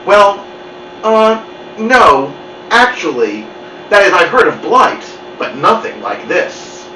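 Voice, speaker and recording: A man's voice: high voice, big pitch swings, takes it slowly, bright, forceful tone, voice pushed up, heavily acted. A man voicing a bumbling, earnest father in a children's storybook, hesitant and flustered. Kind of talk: children's storybook read-aloud. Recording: muffled, roomy, distant mic with noise.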